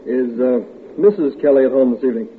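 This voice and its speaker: An actor playing a courteous, matter-of-fact police officer in an old radio drama. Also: male voice, medium pitch, quick pace, a little hiss or hum, lightly acted. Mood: businesslike. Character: polite, businesslike police officer. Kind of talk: 1940s radio acting. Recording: tinny old radio broadcast.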